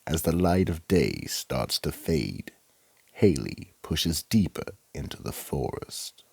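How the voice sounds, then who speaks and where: A man playing a sinister, menacing horror storyteller with a gravelly, husky voice, narrating a radio drama theatrically. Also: a bass voice, sing-song, takes it slowly, darkish tone, no echo, heavily acted. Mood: ominous. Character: sinister psychotic horror storyteller, keeper of the vault. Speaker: host and narrator.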